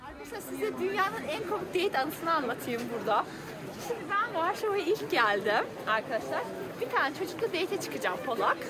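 Young woman vlogging at a night christmas market, chatty and excited, camera at arm's length, big crowd noise all around. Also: very high-pitched, brisk. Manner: excited, chatty, keeps addressing viewers. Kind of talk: casual travel vlog. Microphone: handheld camera held at arm's length. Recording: loud music and crowd outdoors.

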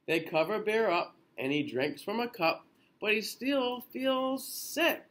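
A man in his thirties reading a children's picture book aloud in an expressive, sing-song way with drawn-out phrases. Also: sits high. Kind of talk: children's storybook read-aloud. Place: small indoor room.

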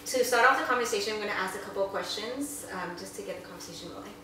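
A woman's voice: mid-range voice, very fast, bright, forceful tone. A young woman hosting a vlog, friendly and a little scripted, with ums. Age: young adult. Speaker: vlog host. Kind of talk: casual hosting. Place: echoey living room indoors. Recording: echoey room, faint hiss.

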